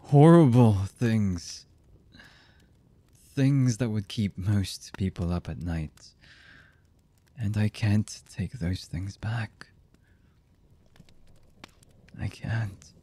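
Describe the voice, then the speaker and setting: A man acts out a lonely, brooding young villain for an anime boyfriend ASMR. The voice is low and mellow, husky with breath and often near a whisper. He speaks very slowly and hesitantly, as if confiding something intimate. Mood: haunted, awed. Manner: slow, halting, hesitant, intimate.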